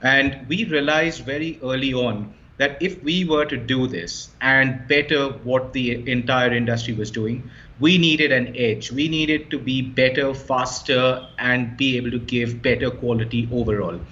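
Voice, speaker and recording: A man's voice: mid-range voice, unhurried, sharp tone. Confident man, slightly muffled sound. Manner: fluent, confident, emphatic. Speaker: startup co-founder, guest. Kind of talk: explaining his business in an interview.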